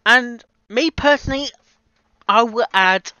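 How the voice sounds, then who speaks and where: A man chatting casually and unscripted in a reaction vlog, halting and often repeating and rephrasing himself. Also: very high-pitched, pushed and bright, clear, resonant tone, no room sound, muffled recording. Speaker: amateur voice actor reviewing a fan fiction audio reading.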